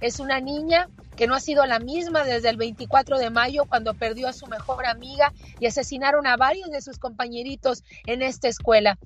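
A woman with a Mexican accent hosting a talk show in a husky voice, speaking in an earnest, reflective, conversational way. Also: high-pitched, brisk, few pauses, dull audio. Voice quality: husky, hoarse-edged voice. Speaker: show host. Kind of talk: conversational radio-style talk.

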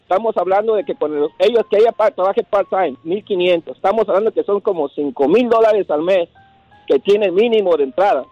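A man calls in to a radio show and bluntly argues his point with folksy sayings. His voice sits fairly high and comes through a dull, dry phone line. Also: brisk, no echo, faint music behind. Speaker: caller.

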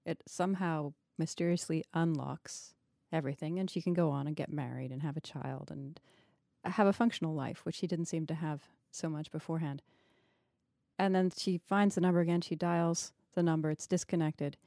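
Low, mellow-voiced woman, analytical interviewer. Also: steady tone, normal speed, creaky, no room sound, studio quality. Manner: analytical, probing with questions. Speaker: podcast host interviewing an author. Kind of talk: thoughtful literary discussion.

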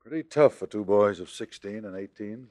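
An actor playing a hard-boiled but sympathetic police commissioner in an old-time radio mystery. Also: male voice, lowish voice, moderate pace, a little mellow, no room sound, natural voice. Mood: grave, sympathetic.

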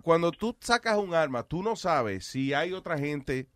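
A man's voice: on the high side, expressive, measured pace, no room sound. A middle-aged man hosting a radio show, joking with callers and slipping into English phrases. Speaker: radio host. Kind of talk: casual banter.

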